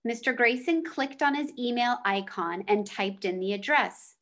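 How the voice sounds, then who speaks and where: A woman's voice: fairly high pitch, expressive, moderate pace, fairly bright tone. A woman reading a children's novel aloud as a storyteller. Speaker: read-aloud reader.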